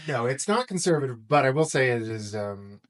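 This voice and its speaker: A middle-aged American man speaks as a guest in a podcast interview. He grew up in Texas and talks in a casual, conversational way, at an average male pitch. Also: expressive, moderate pace. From Texas, United States.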